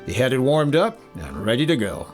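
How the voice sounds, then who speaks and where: A man playing a wry, suspicious American investigator with a brisk manner, in the style of 1950s radio detective drama. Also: medium pitch, very animated, faint music behind. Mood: wry narration. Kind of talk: 1950s radio detective acting, first-person narration.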